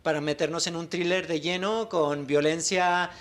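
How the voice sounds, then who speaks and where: Give me a man with a slightly high voice, talking as a guest in a TV studio. He is thoughtful and measured, pausing with fillers as he explains his film ideas. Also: fairly even intonation, a bit bright. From Mexico. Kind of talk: TV interview answers.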